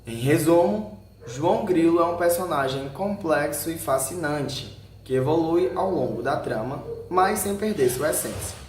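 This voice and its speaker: A man's voice: a little high, animated, a little slow. A Brazilian man reading a scripted narration aloud in short headed sections, occasionally stumbling over a word. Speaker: video narrator. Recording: roomy echo with faint background noise.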